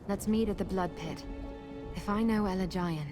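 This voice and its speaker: A woman's voice: medium pitch, moderate pace, music in the background, close to an everyday voice. A woman playing an earnest adventurer in a fantasy video game. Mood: resolved. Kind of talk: video game character acting.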